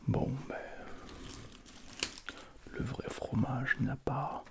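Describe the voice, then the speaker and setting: A man hosting an ASMR tasting in a casual, hushed, low voice. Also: fairly even intonation, drawn-out words, creaky, background noise. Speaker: ASMR video host. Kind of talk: whispered ASMR food tasting.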